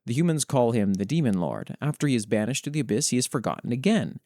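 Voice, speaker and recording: A man hosting a show, reading written fantasy lore aloud in an expressive, dramatic way with brief casual commentary. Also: mid-range voice, fast, dry recording. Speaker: host reading listeners' worldbuilding submissions.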